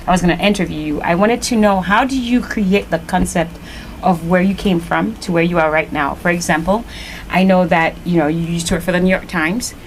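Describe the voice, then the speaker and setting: Lady tv host rambling thru her questions, lowish voice, bit of hiss. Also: brisk, low-quality recording. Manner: chatty, filler-heavy questions. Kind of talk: tv interview.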